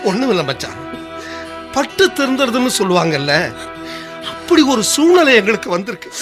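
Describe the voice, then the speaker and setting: A humbled, desperate husband in a radio drama. His high-pitched voice moves in wide, emotional swings, earnest and burdened, with music faintly behind. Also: very animated, normal speed. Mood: emotional, remorseful. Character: desperate, humbled husband who lost job and money. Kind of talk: Tamil radio drama acting.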